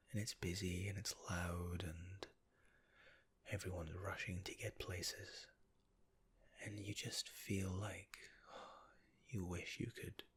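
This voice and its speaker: A man with a very low, deep voice whispers a calming guided relaxation. His delivery is slow and unhurried, with a husky, breathy texture throughout. He speaks in soft, soothing second person. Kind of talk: ASMR guided relaxation narration.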